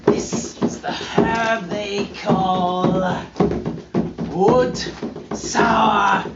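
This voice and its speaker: A storyteller giving a dramatic, incantatory poetry reading, theatrical in delivery, swinging between hushed passages and loud cries. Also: mid-range voice, fairly even intonation, extremely slow, over loud music. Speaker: storyteller performing a charm. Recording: echoey, dull distant live recording, loud drumming. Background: loud drumming.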